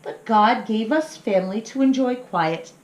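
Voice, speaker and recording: Woman as gentle, lilting mother wolf. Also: on the high side, animated, a little slow, pitched above the performer's own voice. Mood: tender. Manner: reassuring, explaining. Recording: roomy, echoing sound.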